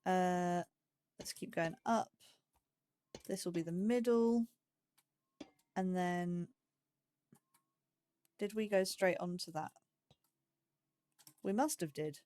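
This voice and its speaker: A woman hosting a slow game series, chatting to herself as she builds, with phrasing and startled yelps when danger appears. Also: fairly low pitch, mellow. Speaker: let's play host. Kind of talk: casual gameplay commentary.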